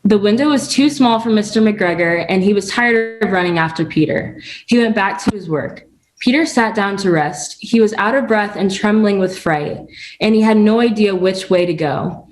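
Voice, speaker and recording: A woman's voice: medium pitch, moderate pace, low-quality recording. A young woman reading a children's storybook aloud as a student volunteer. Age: young adult.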